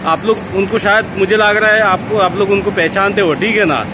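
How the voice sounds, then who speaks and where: A man's voice: sits high, steady tone, brisk. A man in his thirties vlogging on a city street at night, talking to viewers through a phone held at arm's length, chatty and repetitive. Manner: chatty, repeats "thik hai na", addresses viewers as friends. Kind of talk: walking city vlog. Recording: loud street and traffic noise. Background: traffic and street noise.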